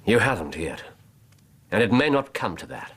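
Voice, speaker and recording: A shrewd detective in a radio drama. His low, slightly husky voice moves through wide swings of pitch, precise and probing, with merciful authority. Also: sing-song, normal speed, fairly bright tone, heavily acted. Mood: measured, reassuring. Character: shrewd, commanding male detective, coolly incisive and merciful.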